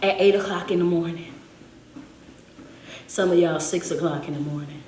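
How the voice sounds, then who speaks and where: A woman's voice: on the low side, moderate pace, darkish tone, roomy sound, slight background noise. A woman motivational speaker giving a preaching-style talk, emphatic and full of repeated refrains.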